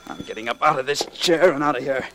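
An actor playing a nervous, pleading young office assistant, urgent and melodramatic, in a 1940s radio drama. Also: male voice, medium pitch, fast, noisy background, lightly acted. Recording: old 1940s radio recording.

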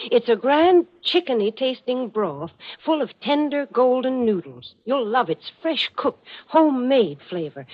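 A woman giving scripted sponsor pitches on 1940s radio in a cheery, enthusiastic manner, on a dull old recording. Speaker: commercial spokeswoman.

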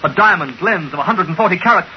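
An actor playing an obsessed, impatient scientist who talks with urgent intensity in an old radio drama. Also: male voice, sits high, lively intonation, fast. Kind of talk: 1940s radio acting. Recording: hissy, muffled old radio recording.

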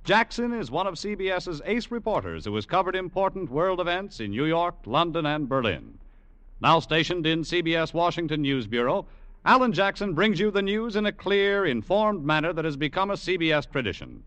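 A man's voice: a little high, big pitch swings, a little fast, fairly bright tone, dry recording. A man with an American accent reading a scripted promotion as a polished, formal old-time network radio announcer.

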